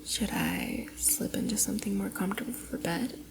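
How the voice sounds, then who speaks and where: A woman playing a flustered, affectionate young cosplayer in an intimate ASMR roleplay, coy and giggly, often dropping into soft breathy murmurs. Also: medium pitch, huge pitch swings, moderate pace. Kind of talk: ASMR roleplay, intimate one-sided dialogue to the listener.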